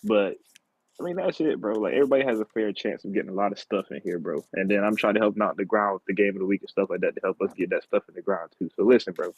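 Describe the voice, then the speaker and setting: A man's voice: fairly low pitch, fast, slightly rough, no echo. A man chatting casually on a podcast panel, slangy and emphatic, often saying "bro", on a dull lo-fi mic. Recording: dull, muffled, dry lo-fi mic.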